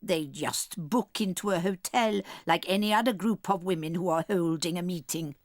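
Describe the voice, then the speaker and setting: A woman's voice: lowish voice, normal speed, no room sound, clean studio sound, natural voice. An actress playing a protective old grandmother, a solemn and dramatic storyteller in a dramatized audiobook. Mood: grave, relishing.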